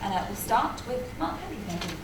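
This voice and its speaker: Brisk woman moderating in a hall. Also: on the high side, very animated. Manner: brisk, articulate presenter with light asides. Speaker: conference moderator. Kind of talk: moderating a panel conference. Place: large conference hall. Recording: echoey hall, distant mic, noisy.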